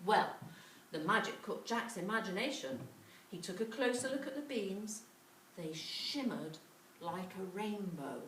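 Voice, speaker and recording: Animated woman storyteller in a roomy space. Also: mid-range voice, measured pace. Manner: dramatic, with repetition and questions to listeners. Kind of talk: interactive children's storytelling. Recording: roomy, distant mic, faint noise.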